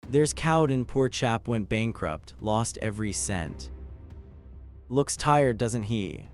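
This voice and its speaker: A man's voice: medium pitch, expressive, slow pace, faint music behind. An actor playing a chatty, wisecracking man using breezy old-fashioned slang in a motion comic dub. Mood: chatty, amused.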